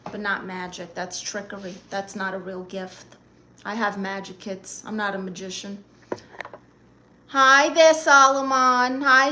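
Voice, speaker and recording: A woman's voice: mid-range voice, sharp tone, a little hiss or hum. A woman from Brooklyn, chatting casually on a live stream, greeting viewers and firing back sassy, playful comebacks to their comments. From Brooklyn, New York. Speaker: livestreamer.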